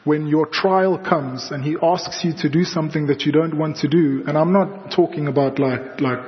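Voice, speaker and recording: A man's voice: on the high side, moderate pace. A young South African man, native Afrikaans speaker, preaching a sermon in a large church and telling personal stories in a conversational way with fillers. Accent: South African English. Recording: dull sound with long hall reverb.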